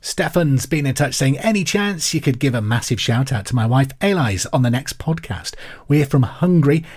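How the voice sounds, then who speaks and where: Male quizmaster, English accent, mid-pitched voice, conversational and cheery podcast host delivery. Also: expressive, moderate pace, darkish tone, breathy edge. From England. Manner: upbeat, friendly, conversational. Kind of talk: chatty podcast presenting, reading out listener messages.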